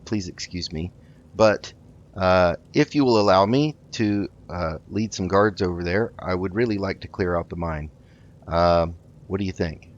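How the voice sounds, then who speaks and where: A man hosting a roleplay gaming video, improvising in character with a polite, halting delivery. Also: deep voice, highs cut off. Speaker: gaming host.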